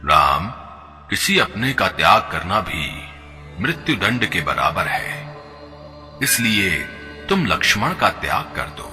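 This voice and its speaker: A man's voice: low-pitched, big pitch swings, takes it slowly, sharp tone, heavily acted. A man voicing an old royal priest in a devotional cartoon, giving grave advice. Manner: grave, advising. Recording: long reverb with background music.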